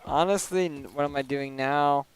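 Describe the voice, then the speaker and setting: A young man streaming a video game, chatting casually and rambling with lots of "like" fillers and quick laughs, into a desk microphone at home. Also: fairly high pitch, clear, resonant tone, no echo. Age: young adult. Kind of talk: casual chat while gaming.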